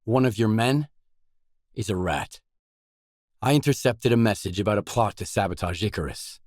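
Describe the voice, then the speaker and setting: An actor playing a guarded, weary mercenary in a video game, speaking in blunt, matter-of-fact lines. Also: male voice, fairly low pitch, moderate pace, a little mellow, dry recording, clean studio sound, lightly acted. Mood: grim.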